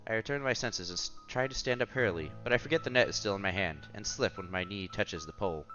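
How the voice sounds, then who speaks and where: Bright-voiced low man reading a visual novel aloud. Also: quick pace, dull audio, faint music behind. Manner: expressive reading with exclamations and asides. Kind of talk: reading game narration aloud with casual commentary.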